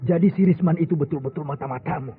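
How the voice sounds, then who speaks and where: An actor playing a hot-tempered, bitter husband with wounded pride in an Indonesian radio drama. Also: male voice, on the high side, fast talker, lightly acted. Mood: furious. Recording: tinny old radio drama, music behind.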